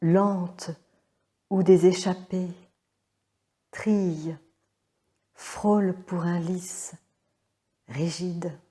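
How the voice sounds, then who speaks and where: Woman for solo poetry recital: lowish, extremely slow delivery, short phrases with long pauses, hushed. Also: steady tone. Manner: measured, pausing after short phrases.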